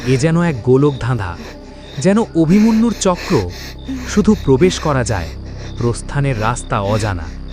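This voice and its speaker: Male narrator, mid-pitched, expressive swings, suspenseful horror storytelling, music behind. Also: a little slow. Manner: dramatic, suspenseful delivery. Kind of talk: scripted dramatic horror storytelling.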